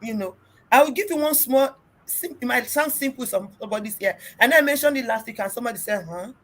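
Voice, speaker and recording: A middle-aged woman with a Nigerian accent and a big, loud voice, hosting a casual relationship talk and telling stories emphatically. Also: a little high, animated, quick pace. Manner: emphatic, storytelling, mixes in pidgin. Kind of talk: casual group discussion.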